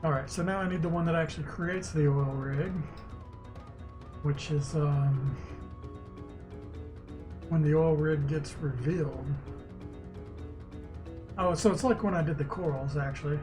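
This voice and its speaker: A man streaming as a game developer, casually thinking aloud and muttering haltingly to himself as he works through code. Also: a little high, steady tone. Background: music, keyboard typing.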